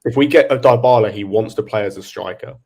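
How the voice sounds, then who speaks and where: A man with a fairly low voice laying out football tactics step by step. He speaks in a measured way and backs up each point with a reason. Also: vocal fry, darkish tone, breathy edge. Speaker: podcast co-host. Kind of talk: casual football analysis.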